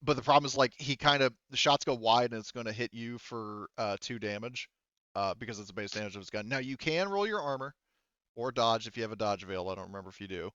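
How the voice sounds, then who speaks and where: A man running a tabletop roleplaying game as game master, narrating and refereeing in a halting, think-aloud way. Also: mid-range voice, expressive, fairly bright tone, no room sound, dull audio.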